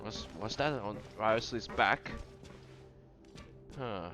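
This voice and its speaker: A man's voice: fairly low pitch, slow pace. A man giving casual commentary as he plays a video game. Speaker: gamer. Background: game music.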